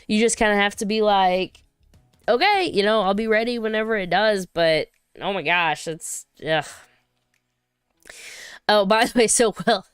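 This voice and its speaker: A woman's voice: medium pitch, fairly bright tone, smooth, no room sound. A woman hosting a casual livestream, rambling and chatty as she reads viewer comments, her voice still recovering from being sick. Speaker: livestream host.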